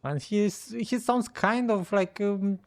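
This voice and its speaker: A man's voice: high-pitched, takes it slowly. Man casually reacting to a game. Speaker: gaming content creator. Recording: faint game music behind. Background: video game music.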